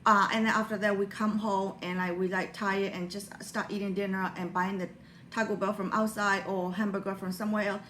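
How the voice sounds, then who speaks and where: A woman's voice: mid-range voice, level tone, brisk, a bit bright, echoey room, slight background noise. A woman from Burma, Burmese her first language, talking earnestly in a rambling motivational vlog with non-native grammar and many fillers. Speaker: motivational vlogger. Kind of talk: personal motivational monologue.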